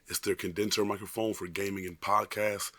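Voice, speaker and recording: A man in his thirties, hoarse from a cold, casually reviewing a microphone in a rambling way, with many restarts and fillers, recorded on a desk USB mic in a small room at home. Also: lowish voice, little pitch movement, fairly quick, very noisy. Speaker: product reviewer. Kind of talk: casual product review vlog. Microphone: desk condenser USB mic.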